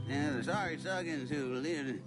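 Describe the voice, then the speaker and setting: Game role: rueful backwoods Southern storyteller, male actor, drawling, folksy, upper-middle pitch, music behind. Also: moderate pace, close to an everyday voice. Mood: haunted, folksy. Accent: American South. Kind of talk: video game character acting.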